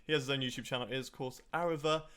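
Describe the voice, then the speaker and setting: A man's voice: medium pitch, fairly even intonation, very fast, over a noisy crowd. A young man hosting a podcast in a casual, teasing, jokey way. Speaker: podcast host.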